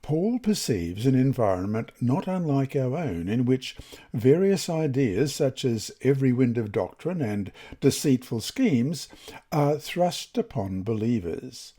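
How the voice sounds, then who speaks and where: A man reads a scripted religious lesson in a deliberate, expressive manner with measured phrasing. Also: medium pitch, breathy edge. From Australia. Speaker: reader of Bible study lessons.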